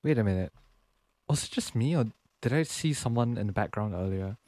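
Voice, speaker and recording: A man's voice: fairly low pitch, animated, brisk, a little mellow, no room sound. A man giving casual, laid-back live commentary as he streams a game, often muttering short reactions.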